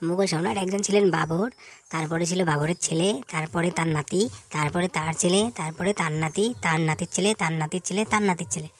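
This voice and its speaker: A woman's voice: fairly low pitch, fairly even intonation, quick pace, lightly acted. Brief: female actor as cheeky cartoon pupil, eager and earnest, rattling off answers at top speed. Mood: matter-of-fact. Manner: reciting answers eagerly to teacher. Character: cheeky, earnest schoolchildren in a comedy classroom. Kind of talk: comic cartoon dialogue.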